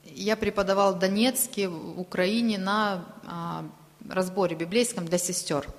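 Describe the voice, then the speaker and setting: A woman interpreting a sermon aloud, delivering it phrase by phrase in a halting way. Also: medium pitch, fairly even intonation. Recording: long reverberant hall sound.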